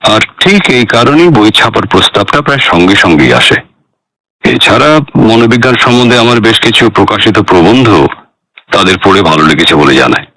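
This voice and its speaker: An actor playing an elderly psychiatrist and former hunter, composed and principled, speaking formally and measuredly in a radio drama. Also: male voice, lowish voice, expressive, fairly quick, sharp tone, no echo, rough recording. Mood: composed, explanatory.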